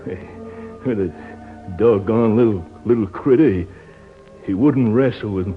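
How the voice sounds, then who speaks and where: An actor playing a simple, rough frontier man, weak and dying, with halting folksy speech, in radio drama style. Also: lowish voice, soft background music, natural voice. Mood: fading, mournful. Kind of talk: 1950s radio drama acting. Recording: very muffled old radio recording.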